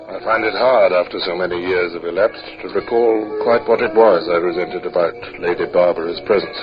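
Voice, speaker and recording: An actor playing a reserved, courteous middle-aged naval captain, formal and a little hesitant, in an old radio drama. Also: male voice, deep voice, a little fast, music in the background, lightly acted. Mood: reflective, wistful. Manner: formal, hesitant when speaking personally. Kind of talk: old-time radio drama acting and narration. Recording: muffled, noisy 1950s radio recording.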